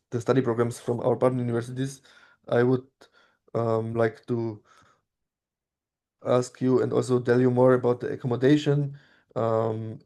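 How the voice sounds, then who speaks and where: Low steady German-native man presenting. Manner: steady, with frequent ums. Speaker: study abroad program representative. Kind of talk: online info session presentation. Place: online video session.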